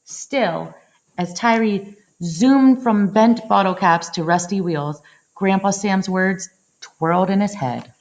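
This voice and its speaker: A woman reading a picture book aloud in an expressive storytelling style, dwelling on action words with dramatic phrasing. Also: medium pitch, takes it slowly, a little hiss or hum, rough recording. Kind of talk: expressive children's read-aloud narration.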